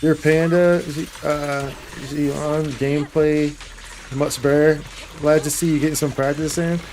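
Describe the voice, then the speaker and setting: A man's voice: on the high side, little pitch movement, a little slow, darkish tone, slight background noise. A man giving casual, slangy live gameplay commentary, repeating phrases and tossing in playful vocal sound effects. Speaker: game livestreamer.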